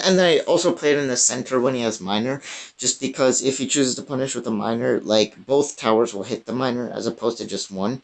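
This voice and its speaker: Young guy talking over his gameplay, voice all raspy and strained, lots of ums and restarts. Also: mid-range voice. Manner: casual, many fillers and restarts. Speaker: gaming YouTuber. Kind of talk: casual gameplay commentary.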